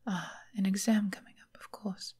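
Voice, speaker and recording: A woman voicing a refined, affectionate vampire mistress in a soft, breathy ASMR roleplay whisper, gentle and soothing. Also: medium pitch, fairly even intonation. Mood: wistful, tender. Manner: gentle, unhurried, genteel, affectionate endearments. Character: caring, refined female vampire mistress, long-lived doting lover.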